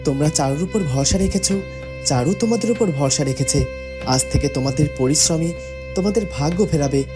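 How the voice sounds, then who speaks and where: A man's voice: a little high, a little fast, lightly acted. A man playing a loyal, stern young prince in a fairytale cartoon, speaking in a formal, proclaiming way. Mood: stern, assuring. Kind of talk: children's fairytale cartoon acting. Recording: loud music behind the voice.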